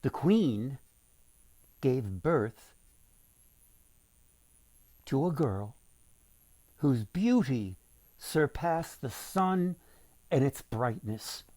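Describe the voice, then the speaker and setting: A man reading a folktale aloud as its author, with deliberate, dramatic phrasing for young listeners. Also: mid-range voice, expressive, dark tone, no echo.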